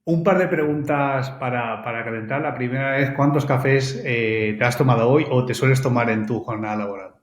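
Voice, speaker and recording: A man's voice: medium pitch, fairly quick, hardly any pauses. A man hosting a podcast who asks questions in a friendly, hesitant way, with many fillers. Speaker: podcast host. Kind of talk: casual interview. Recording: roomy echo.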